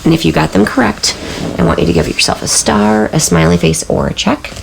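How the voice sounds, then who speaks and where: Hoarse, low-voiced woman teacher. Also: sing-song, brisk, a little hiss or hum, rough recording. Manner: patient, checks in with frequent "okay?". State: hoarse from a sore throat. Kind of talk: recorded remote math lesson.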